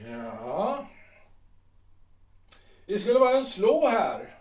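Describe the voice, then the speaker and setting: A man's voice: high voice, wildly swooping pitch, darkish tone, recorded from a distance. A man playing a bitter, aging artillery captain who grumbles and hesitates with many ums, in an audio drama. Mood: grumbling. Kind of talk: Swedish audio drama acting. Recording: roomy, off-mic sound.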